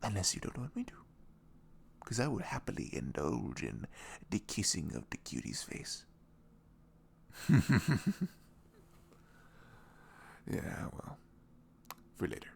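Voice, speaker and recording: A man plays a sweet young boyfriend in an intimate ASMR roleplay. His low voice is velvety but husky, sinking into creaky fry. He rambles informally and playfully, with pitch that moves around freely. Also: huge pitch swings, slow, pauses often, darkish tone, heavily acted. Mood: tender, teasing. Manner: chuckling, informal, loosely rambling.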